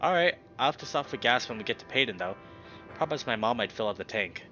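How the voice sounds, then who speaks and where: A man plays an easygoing young animal guy from a visual novel, kind but evasive. His talk is casual and offhand in a bright voice. Music sits behind the slightly muffled sound. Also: mid-range voice, expressive, fast. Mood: reassuring. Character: young male anthro animal, laid-back, kind, evasive friend. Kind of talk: visual novel character reading.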